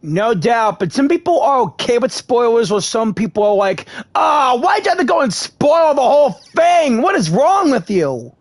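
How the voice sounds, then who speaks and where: A gaming video creator giving casual, expressive playthrough commentary and reacting informally to the story. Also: mid-range voice, normal speed, hardly any pauses, no room sound.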